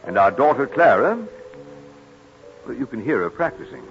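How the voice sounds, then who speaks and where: A man's voice: medium pitch, very animated, normal speed, music in the background. An actor playing a courteous, proud father in an old radio drama. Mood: welcoming. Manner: courteous, genial. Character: genial, proud father of a teenage girl. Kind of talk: old-time American radio drama acting. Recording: muffled, lo-fi old radio broadcast.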